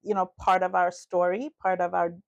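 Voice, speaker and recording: Lively Trinidadian woman co-host. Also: on the low side, creaky, highs cut off. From Trinidad and Tobago. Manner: animated, warm, quick asides, often "you know". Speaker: podcast co-host. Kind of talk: casual chat.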